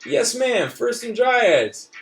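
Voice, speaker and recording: A man voicing an excited little boy at Mardi Gras, eager and wide-eyed, hollering in a singsong way. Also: extremely high pitch, slow, far from the mic, pitched above the performer's own voice, heavily acted.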